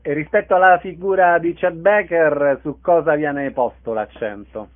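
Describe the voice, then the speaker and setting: A narrow, telephone-like sound carries a man's fairly high voice. He is a radio interviewer who puts concise, prepared questions to his guest. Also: a little slow.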